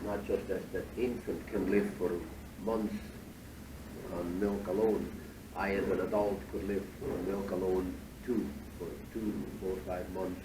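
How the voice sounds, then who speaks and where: A deep-voiced man leads a factory tour and explains things with plenty of "uh"s and slightly foreign phrasing. He is picked up from a distance in an echoey factory hall, and steady machinery noise runs under his voice. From Switzerland. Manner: unhurried, many fillers, slightly foreign phrasing. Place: cheese factory floor.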